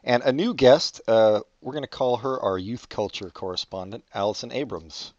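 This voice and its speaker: A man's voice: mid-range voice, normal speed, a little mellow, no room sound, muffled recording. A man in his forties hosting a podcast, chatting casually with dry, wry teasing. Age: 40s. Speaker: podcast host. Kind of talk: casual chat.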